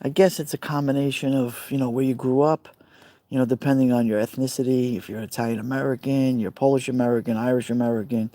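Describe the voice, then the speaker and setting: A man's voice: medium pitch, warm tone, dry recording. A middle-aged man with a Brooklyn accent, chatting on a live stream outdoors by a fire pit and answering viewers by name in a rambling, friendly way. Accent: Brooklyn New York. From Brooklyn, New York.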